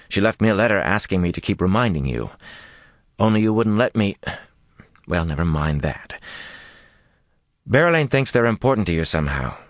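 A man's voice: on the low side, no room sound, clean studio sound, pitched above the performer's own voice. A man reading an audiobook, putting on a fiery, teasing young woman who scolds and jokes by turns. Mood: grumbling.

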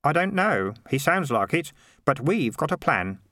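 A man's voice: fairly high pitch, very animated, measured pace, a bit bright, dry recording, studio quality, pitched above the performer's own voice. A man voicing an earnest, worried and slightly hesitant boy in a storybook.

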